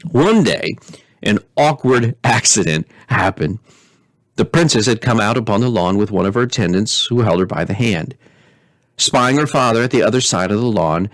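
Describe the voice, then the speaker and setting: A man, a pastor, reading a fairy tale aloud in expressive narration, stretching key words deliberately. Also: medium pitch, no echo.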